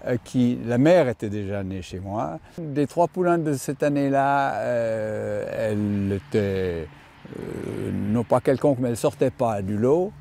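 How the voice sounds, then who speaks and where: An older man who owns and breeds horses, answering interview questions with many pauses and restarts. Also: mid-range voice, lively intonation, gravelly, mellow. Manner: hesitant, many fillers and restarts. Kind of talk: interview reply.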